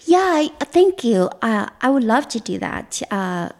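A woman's voice: a little high, sing-song, a little slow, darkish tone. A woman guest, a mentalist, speaking thoughtfully in a casual interview with frequent restarts. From Vietnam.

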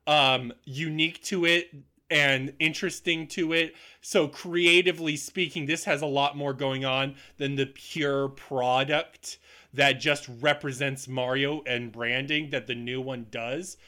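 A man's voice: medium pitch, steady tone, measured pace, sharp tone. A man hosting a casual film discussion podcast in a chatty, informal way. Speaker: podcast host.

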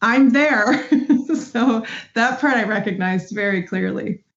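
A woman's voice: mid-range voice, slow pace, creaky. Woman in an echoey room, reflecting candidly. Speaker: podcast guest, audio drama actor. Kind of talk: casual reflective discussion. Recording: dull, roomy, echoey audio.

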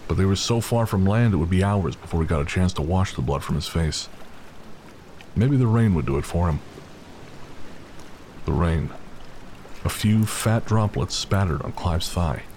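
Deep-voiced man narrating an audio drama. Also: expressive, moderate pace, long pauses, a little mellow, slight background noise. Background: music.

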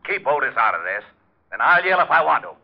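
Exasperated American police lieutenant. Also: male voice, medium pitch, sing-song, fast talker, creaky. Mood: blustering. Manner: grumbling, easily riled. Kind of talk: 1950s radio drama acting. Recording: muffled old radio recording.

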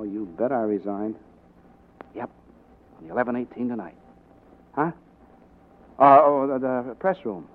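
An actor playing a cocky, wisecracking newspaper reporter in a 1940s radio drama, with brisk slangy delivery. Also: male voice, mid-range voice, natural voice. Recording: dull 1940s radio broadcast recording.

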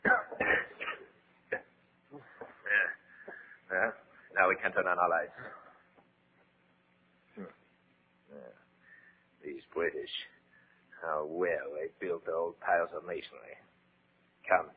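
An actor playing an irritable, condescending Nazi officer in a 1940s radio drama, brusque and impatient. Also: male voice, low voice, slow, pauses often, phone-quality sound. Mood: smug. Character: irritable, domineering injured Nazi officer, undercover. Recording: noisy, phone-thin old 1940s radio recording.

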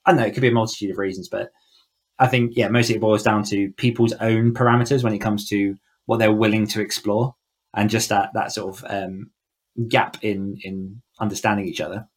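A man's voice: lowish voice, level tone, brisk. A man chatting casually as a podcast guest, thinking aloud in a reflective, conversational way with frequent asides. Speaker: podcast guest, horror author. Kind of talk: casual interview chat.

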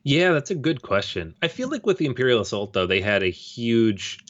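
A man's voice: medium pitch, brisk. A man co-hosting a podcast, talking casually and with firm opinions, with plenty of fillers. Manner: relaxed, opinionated, peppered with fillers. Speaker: podcast co-host. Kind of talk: casual chat. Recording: dull, lo-fi audio.

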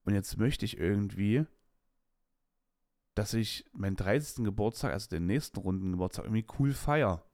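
Podcast host, male, late 20s; rambling candid monologue, lowish voice, dry close mic. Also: animated, moderate pace, darkish tone, dry recording. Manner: rambling and candid, with restarts and slang. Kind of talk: casual personal monologue.

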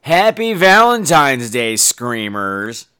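A man's voice: medium pitch, slow pace, smooth. A man hosting a podcast, ranting casually and profanely about his day while losing his voice to a coming cold. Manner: profane, exasperated, animated ranting. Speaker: horror podcast host. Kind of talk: casual solo podcast rant.

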